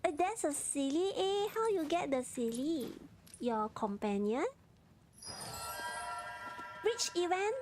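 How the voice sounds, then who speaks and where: A woman's voice: high-pitched, animated, slow, pauses often, darkish tone, soft background music. A Malaysian woman with a Malaysian accent, chatting casually in short clipped phrases while she plays a game on a livestream.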